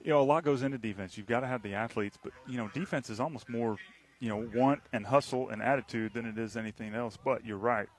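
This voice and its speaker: A man's voice: medium pitch, quick pace, few pauses. Local radio play-by-play man, rambling and teasing, mid-range voice. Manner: chatty, rambling, teasing his partner. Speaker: play-by-play announcer. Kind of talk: local radio football commentary.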